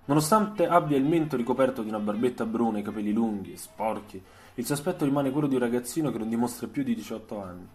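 A man reading a scripted literary story for radio, in a measured, dramatic storytelling way. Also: mid-range voice, expressive.

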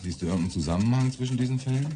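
An actor playing a weary, dryly sardonic homicide detective in a naturalistic radio drama, speaking in a laconic way. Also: male voice, medium pitch, very fast, mellow, slight background noise, natural voice. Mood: surprised, subdued.

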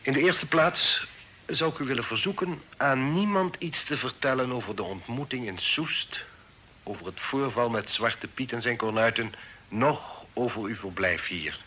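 Man acting a commanding, secretive father, bright voice. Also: medium pitch, natural voice. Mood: guarded, persuasive. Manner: formal, deliberate, commanding. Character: secretive, authoritative fugitive father, stern and shrewd. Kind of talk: radio drama acting. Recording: noisy old radio drama recording.

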